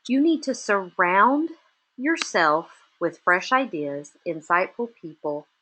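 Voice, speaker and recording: A woman with a Texas drawl presents a webinar for business owners, her voice pitched on the lower side. She lands her points in short, punchy lines. Her delivery swings between brisk and drawn out. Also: slight background noise, rough recording. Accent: Texan. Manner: emphatic, punchy short statements with lively rises. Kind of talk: motivational webinar talk.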